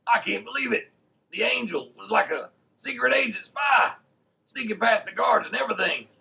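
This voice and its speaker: A man's voice: extremely high pitch, animated, normal speed, gravelly, sharp tone, exaggerated voice. A man voicing an eager, curious, childlike puppet sidekick with a wide-eyed manner on a children's show. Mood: amazed.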